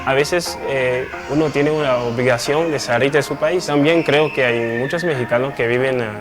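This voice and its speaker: A man's voice: mid-range voice, music in the background. Hesitant man speaking. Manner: hesitant, calm, with pauses and fillers. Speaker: interviewee. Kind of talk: interview answers.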